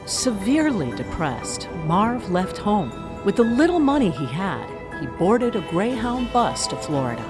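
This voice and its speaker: A woman's voice: medium pitch, very animated, a little slow, hardly any pauses. A woman playing a polished, knowing narrator, reading scripted mock-documentary storytelling. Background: music bed.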